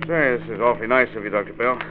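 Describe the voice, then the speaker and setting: A man's voice: medium pitch, racing, a little hiss or hum, natural voice. A man playing an earnest, formal dental society president in a 1940s radio drama, speaking in an explanatory way. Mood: rueful. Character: earnest, factual male dentist and society president. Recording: tinny, reverberant old radio recording.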